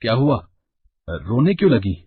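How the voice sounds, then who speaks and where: A man playing a cautious, worried husband and father in a cartoon story, with a husky voice. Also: mid-range voice, sing-song, normal speed, narrow, tinny audio. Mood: concerned.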